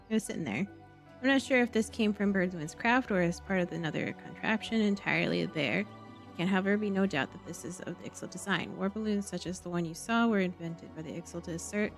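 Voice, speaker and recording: A woman's voice: lowish voice, lively intonation, a little fast. A woman casually commentating as she live-streams a game and reads out its quest text. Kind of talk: casual live gameplay commentary, reading quest dialogue aloud. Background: game music.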